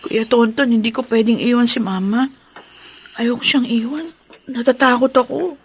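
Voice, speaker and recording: A woman's voice: on the high side, steady tone, moderate pace, slight background noise, close to an everyday voice. A woman playing an anxious, pleading young daughter in an emotional Tagalog radio drama. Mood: grieving, fearful. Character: anxious young daughter fearing her sick mother's death.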